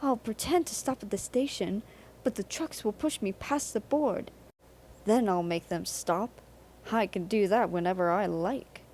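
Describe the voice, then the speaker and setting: A woman's voice: mid-range voice, unhurried, a little mellow, a little hiss or hum, natural voice. A woman voicing a cheeky, conceited young male steam engine in a children's storybook. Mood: scheming. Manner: conceited, playful boasting.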